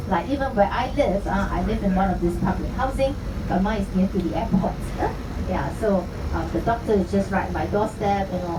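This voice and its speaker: A woman with a Singaporean English accent leads a tour, chatting along and filling pauses with "uh." Her voice of middling pitch comes from a distance, in a roomy, echoing space. Steady background noise runs under her. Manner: chatty, with constant "uh" fillers. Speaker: tour guide. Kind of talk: guided tour commentary. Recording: echoey, distant, noisy.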